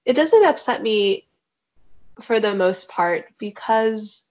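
Woman author, Mandarin first language, mid-pitched, thoughtful and measured, muffled sound. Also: expressive, darkish tone. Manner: reflective, measured, with hesitations. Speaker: novelist guest. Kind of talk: thoughtful interview answers. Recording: dull, lo-fi sound.

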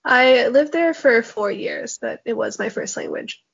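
A woman novelist, a native Mandarin speaker, answering interview questions in a reflective, measured way with small hesitations. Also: a little high, animated, gravelly, breathy edge. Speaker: novelist guest. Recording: dull, lo-fi sound.

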